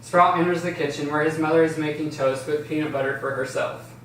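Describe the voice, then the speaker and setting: A man's voice: fairly high pitch, fairly even intonation, a little fast, a bit bright. A man reading scripted stage directions as the narrator of an amateur table read. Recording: roomy, distant, faint noise.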